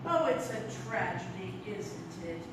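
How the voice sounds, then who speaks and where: A woman playing a genteel, dotty old aunt who chats gently and matter-of-factly in a live radio comedy. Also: on the low side, expressive, unhurried. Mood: sweet, earnest. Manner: gently chatty, matter-of-fact. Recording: echoey hall, distant mic, clear noise.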